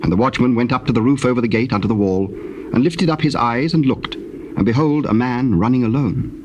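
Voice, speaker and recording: A man's voice: medium pitch, muffled recording. Formal male scripture narrator, noisy tape. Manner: measured, formal storytelling. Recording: noisy old cassette tape.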